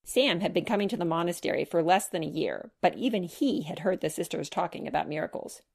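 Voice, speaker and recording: A woman's voice: lowish voice, big pitch swings, brisk, creaky, husky. A woman reading a children's chapter book aloud as scripted storybook narration.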